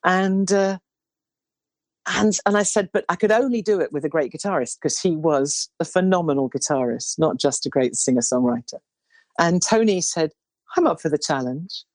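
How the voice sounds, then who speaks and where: A woman in her sixties with an unusually low, baritone voice, talking in a loose, meandering way as she recalls her career. The sound is dry and close, with no room around it. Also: moderate pace, dry recording. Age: 60s. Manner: rambling, warm storytelling with restarts. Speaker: singer. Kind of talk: relaxed interview chat.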